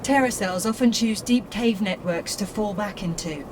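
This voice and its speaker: A woman's voice: medium pitch, moderate pace, background noise, natural voice. A woman voicing a loyal, composed AI assistant in a video game, polite and precise, frankly protective of the one she serves. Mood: polite concern. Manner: polite, precise, addresses boss as sir.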